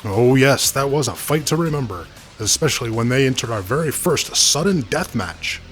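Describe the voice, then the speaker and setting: A man plays a male play-by-play caller for cartoon wrestling. His announcer-style voice is middling in pitch, with light music underneath. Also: lively intonation, normal speed, close to an everyday voice. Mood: engaged. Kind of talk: wrestling commentary.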